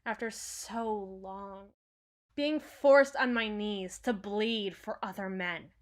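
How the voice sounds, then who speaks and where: Slow, sardonic henchwoman acted by a woman. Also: mid-range voice, close to an everyday voice. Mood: brooding, tender. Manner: slow, brooding, sardonic, dropping into breathy whispers. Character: cynical, menacing woman henchman with a hidden soft spot. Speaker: audio roleplay performer. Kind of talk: ASMR roleplay drama.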